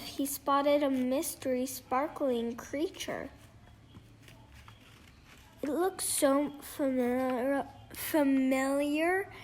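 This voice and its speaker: A female reader reading a children's picture book aloud in a halting way, stumbling over words and repeating phrases. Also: high-pitched, fairly bright tone, slight background noise. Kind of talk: storybook read-aloud.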